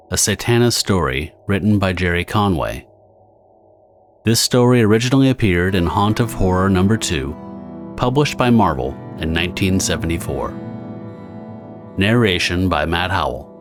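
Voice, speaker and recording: Male narrator for pulp-style audio drama: very low register, scripted dramatic storytelling, prominent music bed behind.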